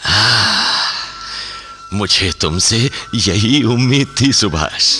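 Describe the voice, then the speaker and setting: An older man acting an ailing senior political leader in a Hindi radio play, reasoning in a deliberate, emphatic, fatherly way. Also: on the low side, expressive, bright, forceful tone, faint music behind, heavily acted. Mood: approving.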